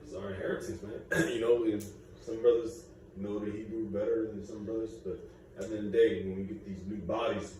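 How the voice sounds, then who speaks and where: A man's voice: on the low side, little pitch movement, fairly quick, a little mellow. A man in a class discussion, talking emphatically in a casual way. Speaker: class participant. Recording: echoey room, distant mic, ambient noise.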